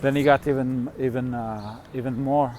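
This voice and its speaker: A man from Turkey, chatting casually about his travels in a halting way, with many fillers and restarts. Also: medium pitch, steady tone, a little mellow, a little hiss or hum. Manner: halting, full of "you know" and "like" fillers. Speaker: touring musician and vlogger. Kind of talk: casual chat.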